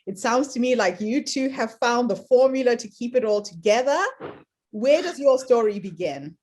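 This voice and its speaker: Upbeat New host, higher voice. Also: female voice, expressive, normal speed, darkish tone. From New Zealand. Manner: enthusiastic, welcoming. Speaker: podcast host. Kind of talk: podcast interview hosting.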